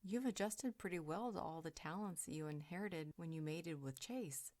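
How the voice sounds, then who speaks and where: A woman playing a calm, motherly, protective character in a roleplay audio drama, measured and inquisitive. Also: fairly low pitch, lightly acted. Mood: thoughtful, concerned.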